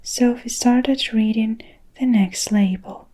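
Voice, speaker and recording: A woman's voice: fairly high pitch, slow. Woman whispering a storybook. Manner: soft unvoiced whisper throughout.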